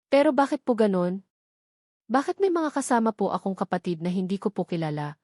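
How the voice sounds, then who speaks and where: A woman's voice: mid-range voice, big pitch swings, brisk, darkish tone, no room sound. A hurt little boy read by a woman narrator speaks politely and plaintively in a family drama story. The recording is dry, with little room sound. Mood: sad, hurt. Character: sad, hurt young boy questioning his father.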